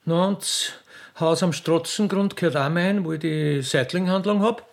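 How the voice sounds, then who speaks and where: A man voicing a mature, rich butcher's widow in a comic radio play, wooing in folksy, halting, insistent Viennese. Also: on the high side, gravelly, pitched above the performer's own voice. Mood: wheedling, boastful.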